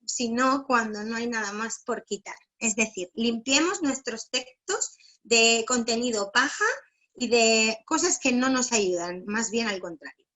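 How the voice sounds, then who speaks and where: Higher-pitched Spanish woman teaching a webinar. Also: a little slow, sharp tone, rough recording. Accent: Spanish from Spain. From Barcelona, Spain. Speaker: philologist giving a writing workshop. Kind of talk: informal online webinar presentation.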